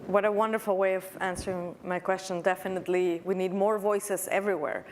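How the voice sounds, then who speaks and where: A woman's voice: mid-range voice, little pitch movement, fairly quick, a little mellow, a little hiss or hum. A woman moderating a conference panel, introducing guests and asking questions in a friendly, filler-laden way.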